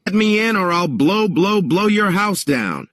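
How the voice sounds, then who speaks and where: A man's voice: high voice, sing-song, unhurried, a bit bright, no room sound, clean studio sound, heavily acted. A man voicing a boastful, menacing, hungry big bad wolf in a children's story, taunting with repeated threats.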